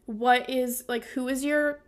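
A woman's voice: high-pitched, steady tone, unhurried. A woman hosting a podcast, interviewing in a casual, chatty way with plenty of "like" sprinkled through her sentences. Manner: informal, frequent "like" as a filler.

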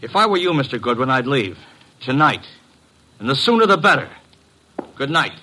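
An actor playing a defensive, resentful young stepson in an old-time radio drama, indignant and clipped. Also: male voice, mid-range voice, sing-song, normal speed, bright, forceful tone, a little hiss or hum, poor audio. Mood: threatening.

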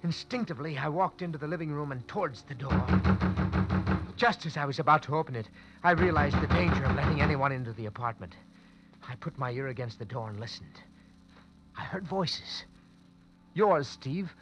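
An actor playing an anxious young lawyer, narrating tensely in first person in a 1940s radio drama. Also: male voice, a little high, animated, normal speed, very noisy, natural voice. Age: young adult. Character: panicked young lawyer who wakes beside a corpse. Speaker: narrator and lead. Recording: muffled old radio broadcast with music.

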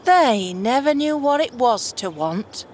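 A woman's voice: on the high side, big pitch swings, slow pace, a little mellow, a little hiss or hum. Woman playing a bitter, defiant runaway royal daughter. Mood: bitter, sarcastic. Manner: sarcastic, blunt, slangy complaining. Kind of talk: scripted fantasy audio drama acting.

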